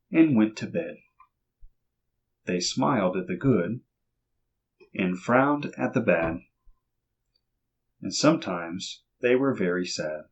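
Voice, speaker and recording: A man reading a children's picture book aloud in an expressive storytelling manner, on a dull lo-fi recording. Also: fairly low pitch, slightly rough. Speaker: reader.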